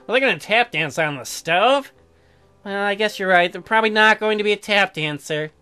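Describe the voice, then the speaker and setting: A woman's voice: medium pitch, expressive, brisk, bright, forceful tone. A woman hosting a let's play, casually reading game dialogue aloud and commenting in a joking, slangy way.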